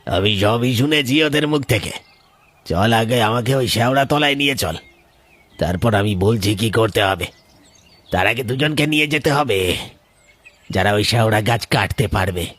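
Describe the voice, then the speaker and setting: A man as a husky, commanding village exorcist. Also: fairly low pitch, animated, a little slow, long pauses, fairly bright tone. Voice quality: husky, rough. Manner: authoritative, emphatic orders. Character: commanding male village exorcist, stern and fearless. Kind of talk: cartoon horror story voice acting.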